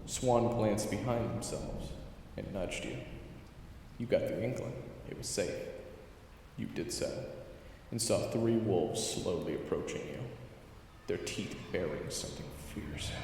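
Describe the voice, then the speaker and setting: Echo-drenched, low-voiced male fantasy narrator. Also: expressive, unhurried, creaky, pauses often, darkish tone, slight background noise. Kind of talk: dramatic scripted second-person fantasy narration. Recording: very echoey, long cavernous reverb. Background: game-style background music.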